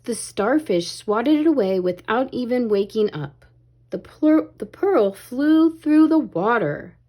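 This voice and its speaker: A woman reading a children's story aloud in an expressive, animated way, as if to young listeners. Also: a little high, slow. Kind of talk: expressive read-aloud narration, pausing to ask children questions.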